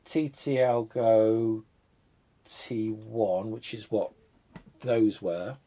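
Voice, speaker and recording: A man hosts a live stream, still a bit congested and coughing from a cold. He rambles and thinks out loud while he tinkers, poking fun at his own disorganisation, and his voice now and then drifts back from the mic. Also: on the low side, very slow. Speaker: retro computer channel host. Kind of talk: casual live stream chat.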